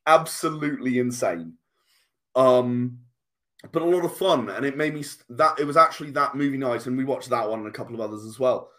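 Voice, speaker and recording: A man with a mid-pitched voice talks as a guest in a casual interview. He is a game designer who explains things at length and with enthusiasm. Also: a little fast, sharp tone.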